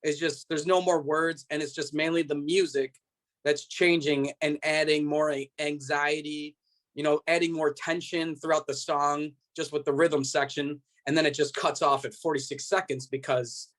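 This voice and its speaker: A man with a slightly high voice speaks as a musician guest in an interview. He is from the United States and explains himself earnestly in relaxed, conversational language. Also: moderate pace. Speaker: band member being interviewed. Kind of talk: casual explanatory interview chat. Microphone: video call.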